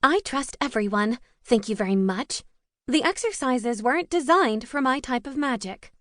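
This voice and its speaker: A woman's voice: fairly high pitch, lively intonation, normal speed, fairly bright tone, dry recording, clean studio sound, voice pushed up. A woman voicing a prickly, defensive young witch student who speaks in an indignant, clipped way.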